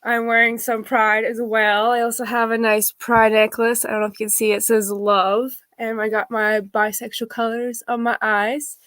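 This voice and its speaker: A woman's voice: fairly high pitch, level tone, moderate pace, few pauses, a bit bright. A woman reading a picture book as a guest, friendly and chatty, with casual asides to the audience. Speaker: drag performer, guest storybook reader.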